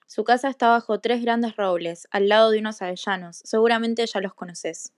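A woman's voice: medium pitch, moderate pace, no echo, close to an everyday voice. Naive, polite storybook girl, played by a woman, radio play, dry recording. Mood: trusting, friendly. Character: innocent, trusting young girl of a fairy tale. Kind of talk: school radio play acting.

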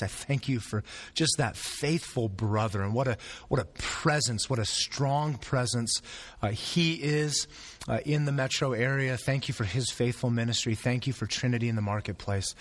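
A man's voice: medium pitch, fairly bright tone. A man preaching a sermon as pastor, earnest and repeating phrases for emphasis, with a sore throat and a fading voice.

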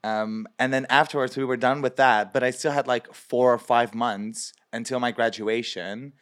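A young man from Belgium, a drag performer, chatting in an interview with many fillers and lively quoted asides. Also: medium pitch, normal speed, clear, resonant tone. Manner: chatty, lots of fillers, animated quoting. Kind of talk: casual interview.

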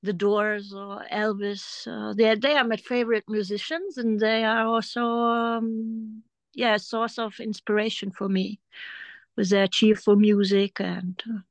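German woman in her 40s, podcast guest, talks slow and hesitant, lots of ums, dry sound. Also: medium pitch, flat delivery, no room sound. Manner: hesitant, many ums and restarts. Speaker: interview guest. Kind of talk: casual conversation.

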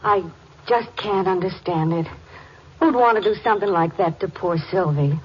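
A woman playing a sweet, worried young farm wife in an old radio drama, earnest and soft-hearted. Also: fairly low pitch, moderate pace, husky. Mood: troubled, earnest. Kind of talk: 1940s-50s radio drama acting. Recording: muffled old-time radio recording, faint noise.

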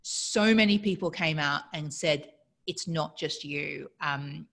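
A woman with a slightly low voice talks through her interview answers at a thoughtful, easy pace. She grew up in Australia, and she speaks fluently while often slipping "like" into her sentences. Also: slow pace, vocal fry, a bit bright. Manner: reflective, fluent, frequent "like" fillers. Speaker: guest speaker.

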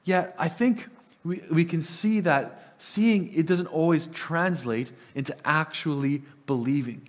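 A man's voice: on the high side, unhurried. A man preaching a sermon, earnest and often repeating words as he starts a sentence; Italian was his first language. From Italian family. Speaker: pastor.

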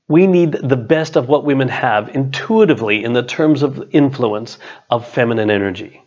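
Middle-aged male author-narrator, medium pitch, measured inspirational read with emphatic pauses, slightly muffled sound. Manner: measured, emphatic pauses before contrasting phrases. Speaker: author reading own book chapter. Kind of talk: scripted narration, inspirational business writing.

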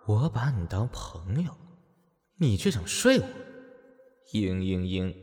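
A man's voice: fairly low pitch, sing-song, takes it slowly, darkish tone, slightly rough, heavily acted. An actor playing an easily flustered, playful young man in an audio drama, with hesitant, stammering delivery. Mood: teasing. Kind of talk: Chinese audio drama acting.

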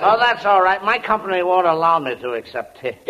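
An actor playing a giggly, slow-witted telegram messenger in a 1940s radio comedy. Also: male voice, high-pitched, very animated. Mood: giggly, pleased. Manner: giggly, drawn-out delivery. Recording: very noisy muffled old radio recording.

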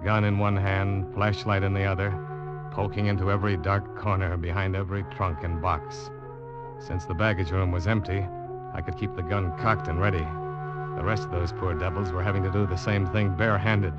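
An actor playing a grim ship's officer who narrates a suspense story in tense, deliberate old-time radio style. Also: male voice, sits low, little pitch movement, lightly acted. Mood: tense, watchful. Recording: dull vintage radio recording with loud music.

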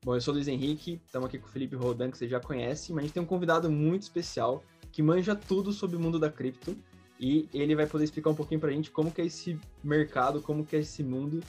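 A man's voice: a little high, quick pace, a little mellow, soft background music. A man with a Brazilian accent hosting a podcast, chatting informally and with slang. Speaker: video podcast host. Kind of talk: casual chat with a guest.

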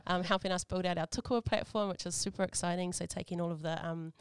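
A woman with a New Zealand accent hosting a casual interview, relaxed and friendly, mixing in Māori greetings. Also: on the low side, fast talker, smooth, no echo, studio quality. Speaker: host.

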